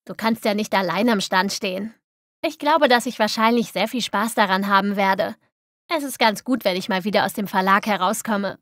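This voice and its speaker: A woman playing an obliging, modest publisher in a scripted language-lesson dialogue, speaking politely with clear enunciation. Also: mid-range voice, moderate pace, fairly bright tone, no echo, clean studio sound, close to an everyday voice. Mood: willing.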